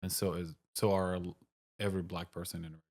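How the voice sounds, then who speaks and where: A man's voice: deep voice, little pitch movement, fast, darkish tone. A middle-aged man from Syria, a cafe owner giving blunt, short answers in a casual interview.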